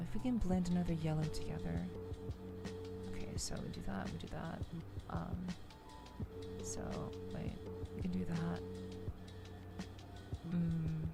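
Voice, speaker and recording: A woman hosting a soft-spoken ASMR gaming livestream, chatting casually with viewers and murmuring hesitantly as she thinks aloud, half whispering at times. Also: fairly low pitch. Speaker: VTuber livestream host. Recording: loud music behind the voice. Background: music.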